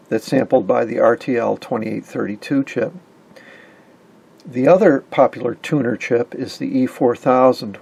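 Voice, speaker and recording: Guy in his 50s doing a techy hobby explainer, bit of vocal fry, medium pitch, slight background noise. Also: a little mellow. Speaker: electronics hobbyist host. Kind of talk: informal technical explainer.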